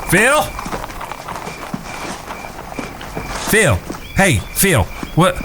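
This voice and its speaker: Man playing a lively-pitched, steady rancher. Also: a little hiss or hum, heavily acted, low-quality recording. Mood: gentle concern. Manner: folksy, measured, reassuring. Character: steady, protective Old West rancher, level-headed brother. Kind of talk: audio drama acting.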